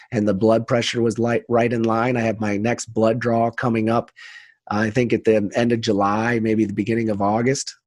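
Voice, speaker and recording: A man talks as a podcast guest in an earnest, encouraging manner. His voice sits fairly low and moves very little in pitch. The sound is close and dry, with no room echo. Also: darkish tone. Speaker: podcast guest, leadership trainer. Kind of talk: earnest conversational interview talk.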